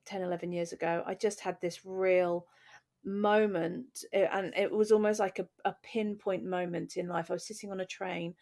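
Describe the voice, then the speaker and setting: A woman's voice: fairly low pitch, fairly even intonation, moderate pace, vocal fry, darkish tone. A woman in her forties with an English accent, co-hosting a podcast and candidly telling her own story in a reflective way. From England. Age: 40s. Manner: reflective, candid, restarting phrases. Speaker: podcast co-host. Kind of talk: personal storytelling in conversation.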